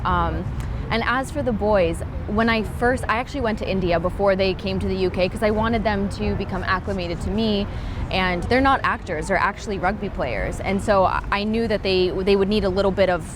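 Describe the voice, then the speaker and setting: A woman's voice: medium pitch, moderate pace. A young woman with an American accent chatting in an interview, often restarting her sentences. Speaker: actress interviewee. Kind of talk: casual interview chat. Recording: noisy crowd behind. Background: crowd chatter.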